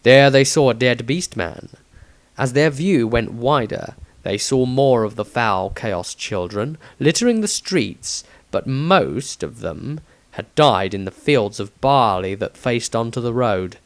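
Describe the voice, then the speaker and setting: A man's voice: mid-range voice, animated, unhurried. A man reading his own fantasy story aloud as scripted narration.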